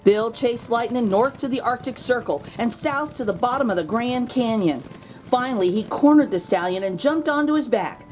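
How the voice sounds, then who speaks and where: Animated, higher-pitched woman reading a storybook. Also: moderate pace, soft background music. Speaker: host reading a children's picture book aloud. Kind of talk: animated storybook read-aloud for children.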